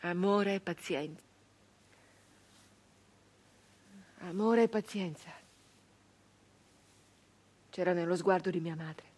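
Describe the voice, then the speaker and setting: An actress performing a theatrical radio monologue as a wistful, dreamy peasant woman recalling her girlhood, with halting repetitions and a breathy delivery that moves between whispers and fervour. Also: lowish voice, darkish tone. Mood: tender, wistful. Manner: halting repetitions, dropping into breathy whispers and swelling to fervent cries. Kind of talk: theatrical radio monologue, dramatic first-person storytelling.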